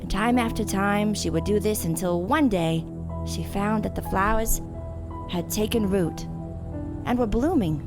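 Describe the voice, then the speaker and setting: A woman voicing a calm, murderous female gardener who speaks softly and without hurry, in an audio drama with music behind her. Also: mid-range voice, natural voice. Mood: calm reflective. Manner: soft, unhurried, menacing.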